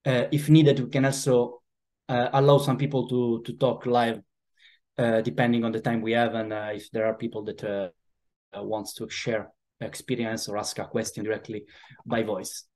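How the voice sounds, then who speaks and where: A man's voice: mid-range voice, normal speed. Italian man hosting an online webinar. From Italy. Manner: relaxed, hesitant, with frequent "uh" fillers. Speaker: webinar host. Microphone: video call.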